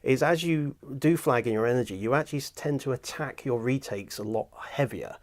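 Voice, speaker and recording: A chatty British man hosting a relaxed conversation in a recording studio, agreeable and quick to laugh. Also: mid-range voice. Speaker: host. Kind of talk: casual chat.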